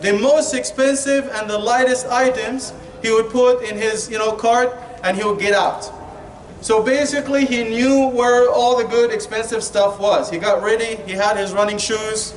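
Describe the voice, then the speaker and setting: A man with a strikingly high voice and an Egyptian Arabic accent delivers a colloquial, joking sermon. He leans on rhetorical questions and conversational fillers. The sound is echoey and distant, with slight noise behind him. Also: steady tone, normal speed. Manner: informal, colloquial, rhetorical questions, frequent "you know". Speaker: Islamic preacher giving a Ramadan talk. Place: indoor Islamic centre hall. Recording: echoey room, distant mic, faint noise.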